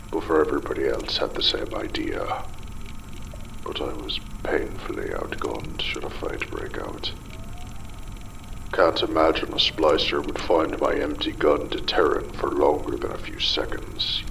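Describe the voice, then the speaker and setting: An actor playing a desperate, unraveling father in a ruined underwater city, muttering a halting, bitter monologue in a gravelly, breathy voice for an audio drama. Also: extremely low pitch, a bit bright, a little hiss or hum, exaggerated voice. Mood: anxious, bitter. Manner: halting, muttering, broken pauses, bitter swearing.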